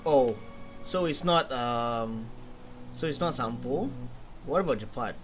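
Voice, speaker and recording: A young man giving casual let's-play commentary, thinking aloud in a halting way. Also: mid-range voice, faint music behind. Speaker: gaming streamer. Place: small room indoors.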